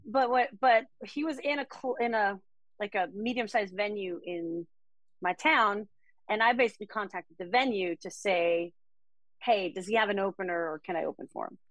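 A woman from Denmark talks through her music career with chatty enthusiasm. Her voice sits at an everyday middle pitch. The recording is close and dry. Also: normal speed, a bit bright, dry recording, poor audio. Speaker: country singer-songwriter guest. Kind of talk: casual interview conversation.

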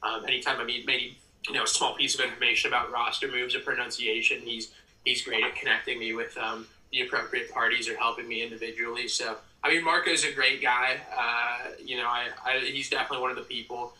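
Young american guy, super bright forceful voice, pretty flat pitch, earnest podcast guest chat. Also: fast. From Ohio, United States. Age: young adult. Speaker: podcast guest, PA announcer and ticket sales executive. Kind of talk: casual interview chat. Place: room at home, audio call. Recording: roomy, slightly distant mic.

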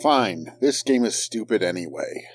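An actor playing a pedantic, composed scientist type with a dry, precise manner in an audio drama. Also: male voice, fairly low pitch, lively intonation, measured pace, faint music behind. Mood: dry, peeved.

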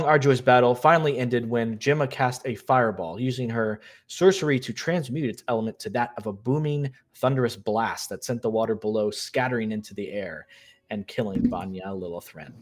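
A man hosting a tabletop role-playing show, getting over a cold and sounding a bit nasal, giving a recap in a steady storytelling voice with frequent fillers. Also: medium pitch. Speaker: game master and host. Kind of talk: show intro and recap narration.

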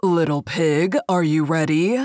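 Woman doing a slow, menacing storybook wolf. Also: low voice, steady tone, darkish tone, no echo, studio quality. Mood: sly. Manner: slow, drawn-out, emphatic threats. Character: menacing wily male wolf, coaxing and threatening. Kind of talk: storybook character voice.

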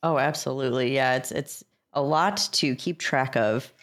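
A mid-pitched voice chats casually on a gaming podcast. The panelist talks hesitantly, thinking aloud along the way. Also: moderate pace, fairly bright tone.

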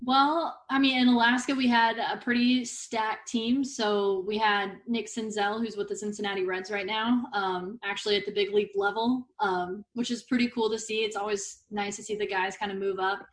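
A woman's voice: mid-range voice, steady tone, moderate pace, hardly any pauses. Upbeat Texan woman, chatting casually. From Texas. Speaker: podcast guest, baseball broadcaster. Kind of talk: casual interview.

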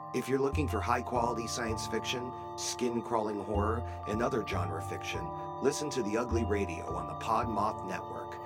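Male promo announcer, lowish voice, scripted read over a very prominent music bed. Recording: loud music under the voice. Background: loud music.

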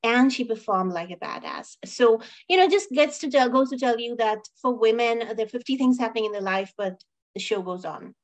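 A woman's voice: fairly high pitch, lively intonation, a little fast. A woman co-hosting a review podcast, talking casually and emphatically, often repeating phrases and checking in with questions. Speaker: co-host of a film and TV review show.

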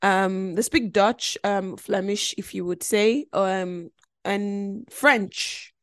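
A woman's voice: mid-range voice, slow, a bit bright, no echo. Chatty woman, close and dry. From Nigeria, later lived in Belgium. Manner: chatty, emphatic, repeats phrases for emphasis. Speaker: podcast host. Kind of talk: casual, reflective personal monologue.

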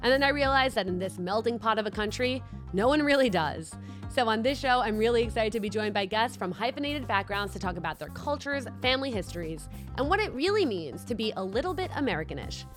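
A woman hosting a podcast, introducing her show and guest in a lively, expressive way. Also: on the high side, fairly quick, over music. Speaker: podcast host. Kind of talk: podcast interview.

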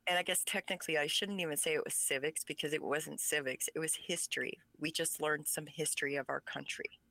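A woman's voice: low voice, steady tone, brisk, gravelly, bright, forceful tone, dry recording. A woman whose first language is Pennsylvania Dutch, talking as an author guest in an interview, speaking passionately and with emphasis. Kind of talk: conversational interview.

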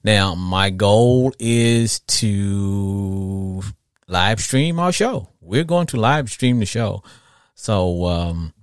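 A man's voice: on the low side, lively intonation, extremely slow, smooth, dry recording, studio quality. A middle-aged man with a Southern US accent, hosting a casual sports talk podcast in a folksy, rambling way, repeating phrases for emphasis. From southern United States. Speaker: podcast host.

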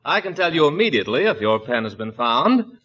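A man's voice: fairly high pitch, very animated, brisk, a bit bright, husky, full character voice. A man playing an officious, polite shop manager in a 1940s radio comedy, fussy and formal. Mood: officious, placating. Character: officious, flustered, polite dry-cleaning manager. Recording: dull 1940s radio recording.

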